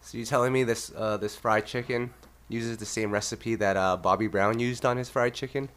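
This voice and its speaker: A man's voice: medium pitch, steady tone, moderate pace, fairly bright tone, smooth. A man with a nasal, congested voice hosting a casual music podcast. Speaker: podcast host.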